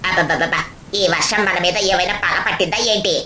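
A woman's voice: on the low side, fast, crowd noise, natural voice. A woman voicing a bird character in a children's cartoon story. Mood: concerned, practical. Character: bird villager and schoolmate in a children's tale.

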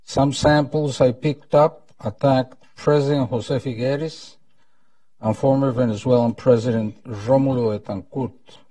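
Older male host, Costa Rican accent, very slow and deliberate formal delivery, soft dark tone. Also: medium pitch, steady tone, dry recording, distant mic, rough recording. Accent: Costa Rican Spanish. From Costa Rica. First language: Spanish. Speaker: event host, former ambassador. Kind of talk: formal prepared welcome speech. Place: indoor conference room at a lectern. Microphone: lapel mic.

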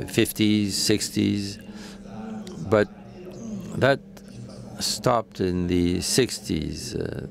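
A man interprets a panel discussion live in a deep, gravelly voice. He speaks haltingly, with frequent fillers, and corrects himself as he catches up with the speakers. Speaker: simultaneous interpreter.

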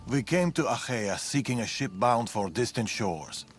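An actor playing a world-weary, guarded fugitive in ancient Greece, speaking gravely and deliberately in a video game cutscene. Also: male voice, mid-range voice, animated, lightly acted. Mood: grave, wary. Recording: game music behind the voice. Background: background music.

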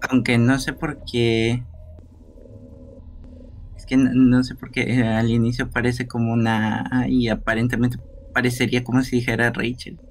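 A man's voice: medium pitch, level tone, moderate pace, slight background noise. A man chatting casually as a co-player on a stream, hesitant and unsure. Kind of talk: casual chat.

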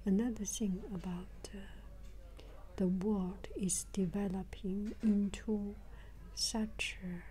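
An older woman giving a reflective travel vlog narration, haltingly, repeating phrases as she searches for words, in a breathy, whispery voice. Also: medium pitch, fairly even intonation, mellow, a little hiss or hum, poor audio. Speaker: travel vlogger.

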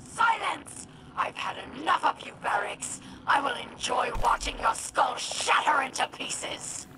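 A woman's voice: high-pitched, a little slow, whispered, poor audio. A woman voicing a vengeful, imperious alien warlord in a video game, menacing, commanding and scornful. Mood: scornful, threatening. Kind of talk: video game villain acting.

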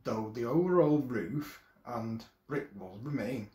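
A man's voice: medium pitch, animated, a little mellow. Man reading a history script aloud, echoey room. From England. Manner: careful, halting reading with occasional restarts. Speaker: video presenter. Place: small room at home, indoors.

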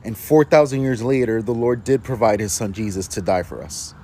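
A man talking earnestly and with deep feeling, filmed outdoors on a phone held at arm's length. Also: fairly quick, dark tone, breathy edge. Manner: earnest, emotionally moved. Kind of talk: short faith video.

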